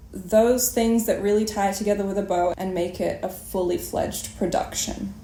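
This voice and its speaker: Mellow woman host in an echoey room. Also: medium pitch, slight background noise. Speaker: interview host. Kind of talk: conversational interviewing and commentary. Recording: roomy, echoing sound.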